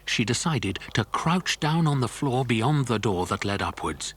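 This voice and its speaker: A man's voice: mid-range voice, expressive, unhurried, a bit bright, breathy edge. A man narrating a scripted children's adventure story.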